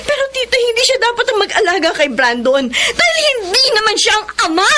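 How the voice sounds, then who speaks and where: A woman's voice: very high voice, very animated, a little fast, pushed and bright, a little hiss or hum, exaggerated voice. A woman acting a proud, hot-tempered mother in a Filipino radio drama. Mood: tearful, defensive.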